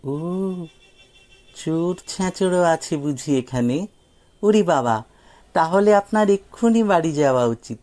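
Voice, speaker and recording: Man playing a cheeky, teasing young fellow, lifted pitch. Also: animated, a little slow, long pauses, darkish tone. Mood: feigned innocence. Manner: teasing, feigned innocence. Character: cheeky, precocious young man, mockingly polite and falsely naive.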